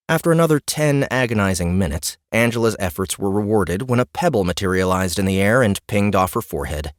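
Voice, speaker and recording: A man narrating a fantasy audiobook in a wry, expressive storytelling manner. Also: low-pitched, dry recording, clean studio sound.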